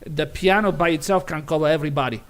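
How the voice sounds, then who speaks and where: A man, a pianist on a jury panel, giving critique in a halting way with frequent restarts. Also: on the high side. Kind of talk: spoken critique.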